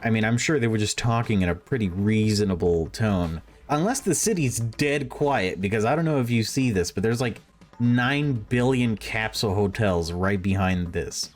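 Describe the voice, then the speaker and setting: Low-voiced man reading over soft music. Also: animated, normal speed. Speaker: video game streamer. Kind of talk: let's play, reading visual novel aloud with casual commentary.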